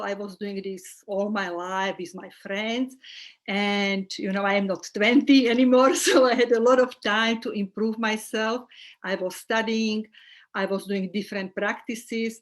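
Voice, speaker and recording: Middle-aged Slovak-accented woman, earnest holistic coach, mid-pitched guest voice. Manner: earnest, with small restarts and fillers. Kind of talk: casual interview chat.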